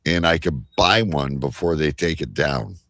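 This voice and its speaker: A man's voice: a bass voice, normal speed, a bit bright, no echo, clean studio sound. A man hosting a casual panel chat, bantering in a blunt, teasing way. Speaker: show host.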